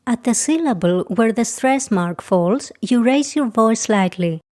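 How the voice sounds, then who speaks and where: A woman who grew up speaking Greek, with a Greek accent, narrating a scripted beginner lesson in a slow, careful, clearly enunciated way. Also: on the high side, expressive, a little mellow. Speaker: language teacher.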